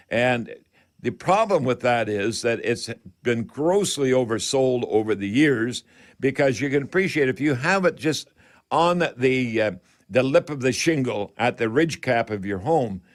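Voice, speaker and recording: A man hosting a radio call-in show, giving home improvement advice in a halting, folksy, rambling way. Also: medium pitch. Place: radio studio.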